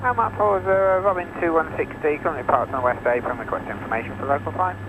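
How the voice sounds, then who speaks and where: Higher-voiced male pilot on muffled radio. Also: quick pace. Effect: aviation radio. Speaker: pilot making radio calls. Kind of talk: procedural radio phraseology. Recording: dull, noisy aircraft radio link.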